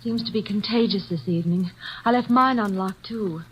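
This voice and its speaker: An actress playing a poised young stage actress in a 1940s radio drama, speaking in a smooth, knowing way. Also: female voice, mid-range voice, normal speed, slight background noise, lightly acted. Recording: muffled old radio broadcast.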